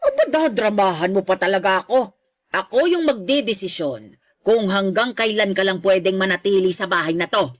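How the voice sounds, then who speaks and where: A woman's voice: medium pitch, expressive, moderate pace, dry recording, clean studio sound, lightly acted. An actress playing a harsh middle-aged mother of grown children, scolding and dismissive, in a Tagalog radio drama. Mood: scornful.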